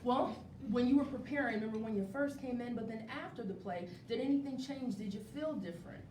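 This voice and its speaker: An enthusiastic woman hosts a live interview event in a fairly high voice. She sounds encouraging and repeats phrases for emphasis. She is picked up from a distance in an echoey venue. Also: fast, darkish tone. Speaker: host of a live community interview show. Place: indoor venue with a live studio audience. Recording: roomy, distant mic with clear rumbling noise.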